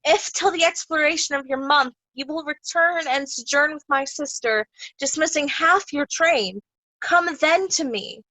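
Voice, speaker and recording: A woman's voice: high voice, unhurried, sharp tone, no room sound, rough recording. A woman playing a cool royal daughter, formally admonishing her old father in Shakespearean style. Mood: coolly firm. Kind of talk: Shakespearean reader's theatre acting.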